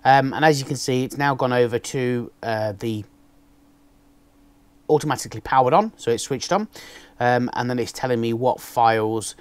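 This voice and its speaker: Loud-voiced man rambling about gear. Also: medium pitch, normal speed, smooth, no room sound. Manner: naturally loud voice, rambling with many ums. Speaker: motovlogger reviewing gear. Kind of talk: casual unboxing chat. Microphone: external microphone on a camera.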